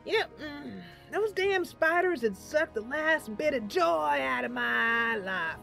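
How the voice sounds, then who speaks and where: A woman's voice: sits high, animated, measured pace, gravelly, bright, forceful tone, pitched above the performer's own voice, exaggerated voice. Eccentric old herbalist played by a woman: rustic, rambling, theatrical game character, music underneath. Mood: rueful, confiding. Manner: rambling, folksy, theatrical with chuckles and sighs. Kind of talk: game roleplay voice acting.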